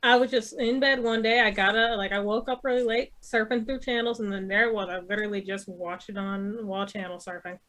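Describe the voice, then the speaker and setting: Female panelist, mid-range pitch, casual conversational delivery. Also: fairly even intonation, fairly quick, few pauses, a bit bright. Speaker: panelist on a chat show. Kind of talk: casual chat.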